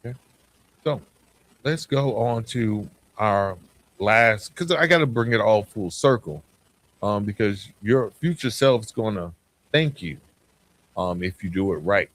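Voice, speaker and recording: A man's voice: lowish voice, lively intonation, a little slow. A man hosting a podcast, speaking to listeners in an emphatic, conversational motivational monologue. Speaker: podcast host.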